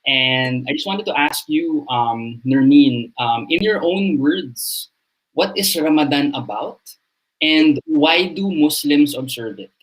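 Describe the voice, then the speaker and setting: A man hosting an interview over a video call, introducing it and then asking questions haltingly with many fillers. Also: fairly high pitch, expressive, low-quality recording. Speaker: interview host.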